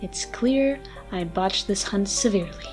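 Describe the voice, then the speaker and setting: A woman playing a haughty, sardonic ancient vampire with a soft side, speaking in wordy mock-formal lines in a dramatic ASMR roleplay. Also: mid-range voice, animated, a little slow, close to an everyday voice. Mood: apologetic. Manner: theatrical, wordy, dry mock-formal speech. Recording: music behind the voice. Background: music.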